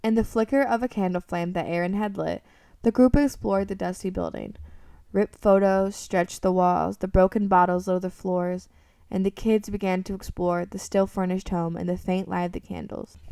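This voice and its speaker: A woman's voice: mid-range voice, steady tone, normal speed, vocal fry, mellow. A woman reading scripted story narration for an amateur radio drama, recorded in a room a little away from the microphone. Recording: roomy, slightly distant microphone.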